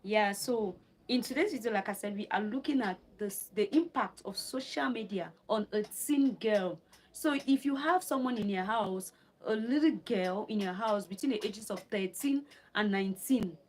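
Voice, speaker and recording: Brief: woman from Cameroon, mid-pitched, informal livestream host who reads notes aloud, repeats lines and chats with viewers. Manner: repeats phrases, reads from notes, answers viewer comments, asks for likes. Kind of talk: live stream talk reading notes and chatting with viewers.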